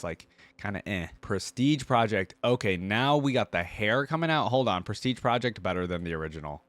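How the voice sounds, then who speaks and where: Low-voiced man casually reacting. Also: dry recording. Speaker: reaction video host.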